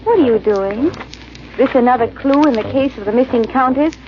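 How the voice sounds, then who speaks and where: An actress playing a playful, curious female companion in an old-time radio thriller, with lively banter. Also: high voice, normal speed, natural voice. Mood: teasing. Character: Elaine, playful, teasing, curious lady companion. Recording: muffled old radio, faint hiss.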